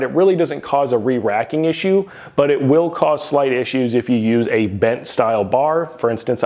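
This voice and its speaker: A man's voice: medium pitch, a little mellow. Methodical man reviewing gear in a garage gym. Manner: methodical, detailed, plain-spoken. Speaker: equipment reviewer. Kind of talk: product review to camera.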